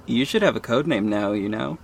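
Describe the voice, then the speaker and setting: A man's voice: medium pitch, animated, a little fast, creaky, slight background noise. A man playing a wry, self-aware superhero who narrates his own story in a scripted audio drama.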